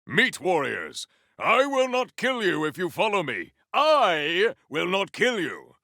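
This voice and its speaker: An actor playing a proud, formal, honor-bound warrior in a video game, with archaic, theatrical diction. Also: male voice, high voice, wildly swooping pitch, takes it slowly, sharp tone, no room sound, clean studio sound, exaggerated voice. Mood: grim, resolute.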